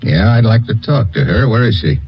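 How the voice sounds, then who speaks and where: Casting: a blunt, low-voiced agent, old-time radio play. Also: male voice, lively intonation, brisk, faint music behind. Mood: businesslike. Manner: brash, wisecracking and blunt. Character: tough American male government agent. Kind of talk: 1950s radio drama acting. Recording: dull lo-fi vintage radio recording.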